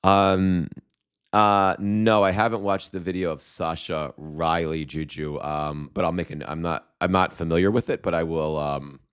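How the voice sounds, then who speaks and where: Low-voiced guy on a live stream with a bit of a cold, rambly, lots of ums, reading chat comments. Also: steady tone, a bit bright, smooth. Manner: rambling, halting, many fillers and restarts. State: slight cold, losing his voice. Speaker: livestream host.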